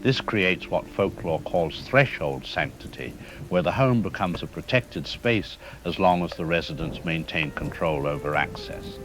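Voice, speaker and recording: A man playing a grave academic historian in a radio drama, speaking in a formal, measured, lecturing way. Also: sits low, lively intonation, noisy background. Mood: grave, informative. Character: grave male academic historian expert on vampire folklore. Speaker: expert interview guest.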